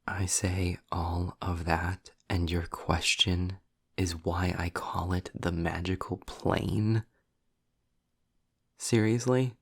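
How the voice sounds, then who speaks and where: Low, gravelly sarcastic demon, acted by a man. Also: no room sound, clean studio sound, full character voice. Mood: exasperated. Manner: sardonic, wordy, deliberate with dramatic pauses. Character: sarcastic, impatient male demon, wry and erudite. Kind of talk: scripted audio roleplay, addressed to the listener.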